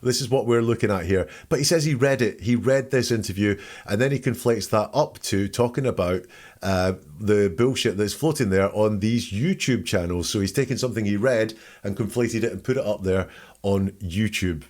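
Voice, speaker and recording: A low-pitched man works as a podcast host, talking through a recording in an analytical way. His manner is informal and wry as he reads out quotes and dissects them. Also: normal speed, a little mellow.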